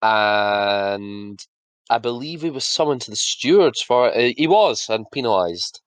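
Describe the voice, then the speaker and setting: A man co-hosting a casual podcast, chatting and laughing, and putting on quick voices for officials. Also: fairly low pitch, animated, no echo. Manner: laughs often, acts out officials in quick asides. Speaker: podcast co-host. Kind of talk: casual chat.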